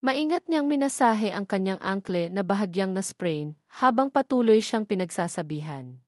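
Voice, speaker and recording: A woman's voice: mid-range voice, expressive, moderate pace, dry recording, studio quality. A woman with a Filipino accent reading a scripted romance story as its narrator.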